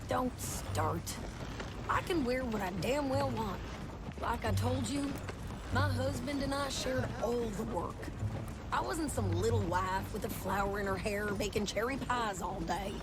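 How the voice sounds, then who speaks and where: A woman's voice: fairly high pitch, a little slow, natural voice. An actress as a fierce, feisty frontier woman, noisy background. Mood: defiant, indignant. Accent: rural American Western. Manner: feisty, blunt, combative. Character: fierce, defiant young frontier widow, tough and proud. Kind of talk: naturalistic video game acting. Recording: game dialogue over loud wagon noise.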